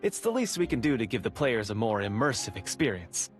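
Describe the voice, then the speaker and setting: A man's voice: medium pitch, big pitch swings, a little fast, faint music behind, lightly acted. A man voicing a polite, welcoming male script manager in a game. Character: courteous male script manager, affable host. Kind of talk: game cutscene voice acting.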